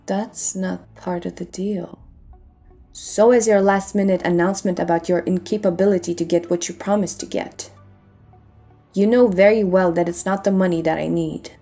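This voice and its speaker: A woman's voice: on the low side, fairly even intonation, normal speed, dark tone, soft background music. A woman acting a guarded, commanding pirate captain in a dramatic audio roleplay, with a curt, bossy manner. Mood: firm, angry.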